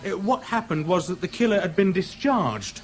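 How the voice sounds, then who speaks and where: A man's voice: sits high, animated, moderate pace, soft background music. A British actor in a 1970s radio drama playing a chatty, outwardly affable man who nurses a grudge, speaking in casual slang. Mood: slyly menacing. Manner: colloquial, slangy, casually insinuating.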